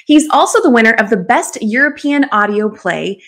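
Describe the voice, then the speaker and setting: A woman's voice: on the high side, animated, normal speed, a bit bright. A middle-aged woman hosting an interview show, introducing and questioning her guest. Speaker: talk show host. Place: small room at home, indoors. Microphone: desk microphone on video call.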